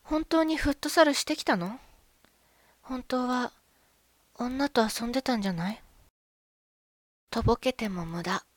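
A woman acting a loving but jealous, suspicious young wife in an amateur radio drama, expressive and often talking to herself. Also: on the high side, a little slow, long pauses, dry recording, close to an everyday voice. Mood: accusing. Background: music.

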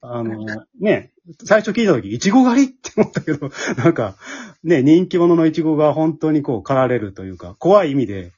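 A man hosting a casual chat, chatty and quick to respond to the others. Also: on the high side, very animated, a little mellow, breathy edge, highs cut off. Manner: chatty, backchannels often, laughs readily. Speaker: host and MC of an after-talk.

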